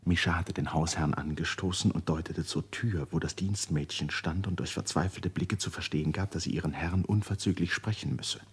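An actor playing the solemn narrator of a literary radio play, husky-voiced, with measured, dramatic delivery. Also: male voice, deep voice, full character voice. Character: solemn male narrator of a Russian family saga.

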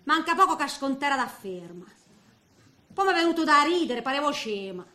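A high, sharp-edged old woman of eighty, tells her story on stage in a regional Italian accent. She is sentimental and feisty, rambling in colloquial exclamations and muttered asides, and the room rings with echo. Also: big pitch swings, normal speed, full character voice. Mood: tearfully moved. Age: middle-aged. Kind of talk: theatrical comic monologue. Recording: echoey stage recording with faint noise.

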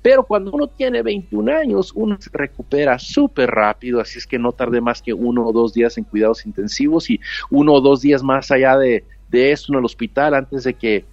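A man's voice: medium pitch, brisk, darkish tone, no echo. Mexican-accented man on a dull dry line. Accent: Mexican Spanish. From United States, raised with Mexican family. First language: English. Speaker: guest, nurse and author. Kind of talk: storytelling interview answer.

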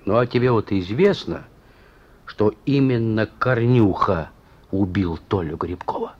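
Deep, rough-edged stern police chief. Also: male voice, measured pace, darkish tone. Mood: grim. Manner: firm, colloquial, admonishing. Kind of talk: Russian radio play acting. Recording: dull, muffled radio play recording.

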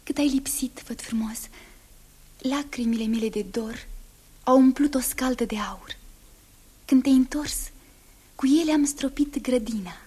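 An actress playing a tender young bride speaking softly in a 1960s radio drama. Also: female voice, high-pitched, long pauses. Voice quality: breathy. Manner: soft, intimate whispering.

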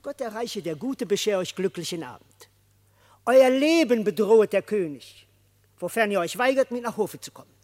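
An actor playing an earnest, gullible tomcat messenger in a verse radio play, declaiming formally. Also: male voice, high voice, expressive, normal speed, a little mellow. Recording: long hall-like reverb.

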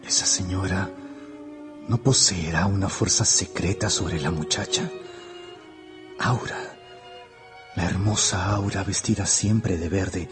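Radio drama lead: infatuated young man, very deep, intense inner monologue over music. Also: takes it slowly, pauses often. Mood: troubled wondering. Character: Felipe, brooding infatuated young man thinking aloud. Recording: music bed under the voice.

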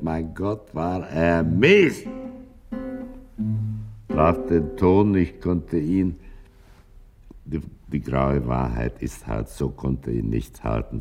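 Weary old-world bookseller telling tall tales, super deep n gravelly, bitter, radio play. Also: male voice, darkish tone, exaggerated voice. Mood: scornful, animated. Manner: halting, brooding storyteller with dramatic pauses. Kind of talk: radio drama monologue.

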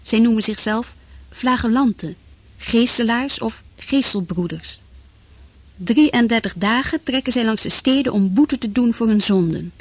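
An actress narrating a historical radio drama as a sober chronicler, in a measured, formal manner. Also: female voice, fairly high pitch, dry recording. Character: sober female chronicler of plague times. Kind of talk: scripted documentary-style radio drama narration.

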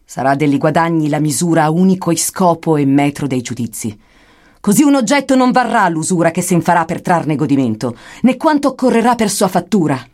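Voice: mid-range voice, a little mellow, close to an everyday voice. A performer voicing a grave, wise poet guide who delivers oracular prophecy in archaic verse. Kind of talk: dramatic poetry recitation in archaic Dantean verse.

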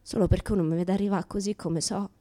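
An actress plays a young woman with a Roman accent who insists on her own innocence in a radio theatre monologue. Her medium-pitched voice is chatty and indignant. She slips from hushed, confiding asides into louder, challenging rhetorical questions. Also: fairly even intonation, fast talker, natural voice. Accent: Roman Italian. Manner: colloquial, rhetorical questions, swinging between hushed asides and loud outbursts. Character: self-styled naive young woman, defiant, chatty, indignant.

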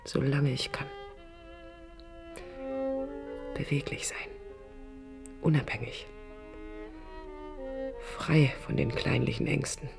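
A woman's voice: very deep voice, measured pace, vocal fry, pauses often, over music, heavily acted. An actress playing a proud, idealistic young woman architect in a radio drama, articulate, combative and ironic. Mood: wistful, hushed. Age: young adult. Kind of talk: radio drama acting with interior monologue. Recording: 1980s radio play with background noise.

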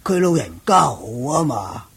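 An actor playing a kindly, courteous elder in a radio drama, speaking considerately and without hurry. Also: male voice, fairly high pitch, big pitch swings, vocal fry, fairly bright tone, breathy edge, exaggerated voice. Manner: unhurried, courteous. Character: kindly, courteous male elder visiting with his family. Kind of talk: Cantonese radio drama acting.

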